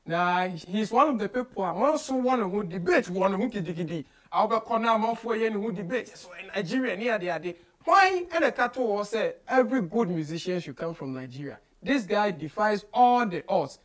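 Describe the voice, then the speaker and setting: A man's voice: high voice, lively intonation, brisk, few pauses. A man with a Ghanaian accent hosting an entertainment interview in an emphatic, exclamatory way, switching languages as he goes. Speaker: radio show host. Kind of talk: lively entertainment interview, mixing English and Twi. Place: small indoor studio, seated at a desk.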